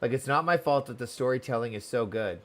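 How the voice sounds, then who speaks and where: A man's voice: mid-range voice, expressive, fast talker, fairly bright tone. Chatty, welcoming male game streamer. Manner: chatty, welcoming, repeats words. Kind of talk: casual live-stream chat and game commentary.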